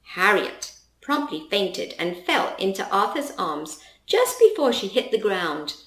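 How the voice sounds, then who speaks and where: A woman reading a children's story aloud as an expressive storyteller. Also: mid-range voice, measured pace. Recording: roomy, echoing voice recording.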